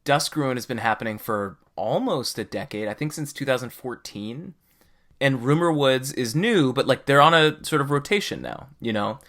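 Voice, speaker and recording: A man's voice: mid-range voice, lively intonation, normal speed, a bit bright. A man hosting a podcast, talking casually and conversationally as he explains his opinions. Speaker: podcast host.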